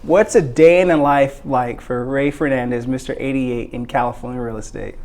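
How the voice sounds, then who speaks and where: A man's voice: mid-range voice, moderate pace, a little mellow. A man hosting an interview, mostly giving brief affirmations and making jokey asides. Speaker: interviewer, host. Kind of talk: casual interview.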